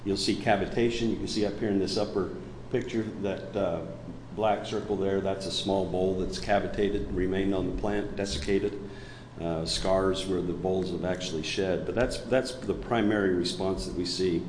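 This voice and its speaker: A man's voice: low voice, steady tone, moderate pace, darkish tone, a little hiss or hum. A man with a chest cold giving a research presentation, walking through his slides and pointing out details. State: chest cold, voice deeper than usual. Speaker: crop researcher presenting findings. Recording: roomy echo.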